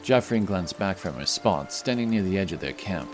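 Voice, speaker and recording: A man's voice: low-pitched, lively intonation, moderate pace, over music. A man reading scripted narration for a fantasy story as its narrator.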